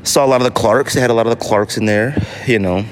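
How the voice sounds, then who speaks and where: A middle-aged American man hosting a casual solo podcast, telling stories in an animated, slangy, emphatic way. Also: medium pitch, fast, a little hiss or hum. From United States. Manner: animated, slangy storytelling with mock voices for others; emphatic, with repetition for effect. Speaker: podcast host.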